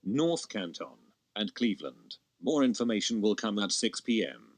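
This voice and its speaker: Low-pitched man reading emergency alerts. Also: big pitch swings, normal speed, heavy background noise. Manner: formal, impersonal. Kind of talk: scripted emergency broadcast warning.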